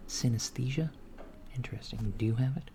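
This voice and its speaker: A man voicing a calm alien doctor in a softspoken ASMR roleplay, talking in a hushed, partly whispered way with an unhurried, hesitant manner. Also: mid-range voice, gravelly, a little hiss or hum, natural voice. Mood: calm clinical. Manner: unhurried, hesitant, with many fillers and murmured acknowledgments. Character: calm alien doctor examining a human patient.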